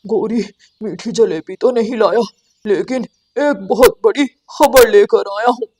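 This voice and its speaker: A woman's voice: a little high, measured pace, darkish tone, husky. A woman voicing a scheming, irritable male crow in a cartoon, blunt and agitated. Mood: eager.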